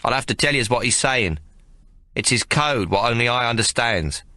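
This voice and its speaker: A man voicing a curt, self-important young gang leader giving rough slangy orders. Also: medium pitch, lively intonation, normal speed, pushed and bright, no echo. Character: gruff, unsmiling gang youth Johnny Terrible, self-important.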